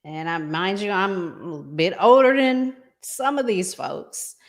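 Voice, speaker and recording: A middle-aged American woman hosting a conversational relationship show, speaking in an emphatic, deliberate way with strong opinions. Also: mid-range voice. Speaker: podcast host.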